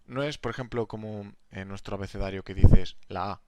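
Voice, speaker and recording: A young man co-hosting a casual podcast, enthusiastic and quick to laugh. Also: fairly low pitch, no echo. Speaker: podcast co-host, language teacher. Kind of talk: casual conversational chat.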